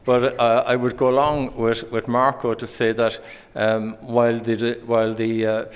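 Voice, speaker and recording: A man's voice: mid-range voice, completely flat delivery, measured pace, clean tone. Irish man, conference speaker, hesitant with fillers, echoey hall. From Ireland. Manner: hesitant, many ums and restarts. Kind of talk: conference presentation. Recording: hall reverb.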